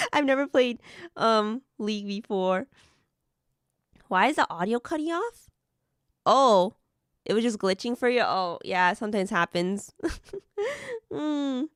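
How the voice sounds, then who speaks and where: A woman streamer chatting casually with viewers while building models, slightly hoarse from being sick and laughing often. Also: fairly high pitch, sing-song, slow, long pauses, dry recording, studio quality. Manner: giggly, chatty, greets viewers.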